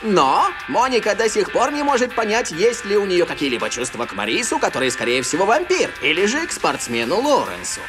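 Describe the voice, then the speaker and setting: An actor voicing a chatty young male player who rambles on excitedly. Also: high voice, brisk, hardly any pauses, music in the background. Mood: excited retelling. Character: chatty young man player, a TV-series fan.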